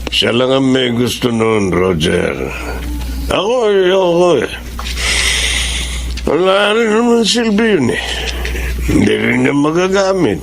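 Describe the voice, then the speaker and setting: A bitter middle-aged father, high for a male voice, creaky and widely varied in pitch. The delivery is troubled radio-drama acting. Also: big pitch swings, takes it slowly, long pauses, exaggerated voice. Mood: dismissive.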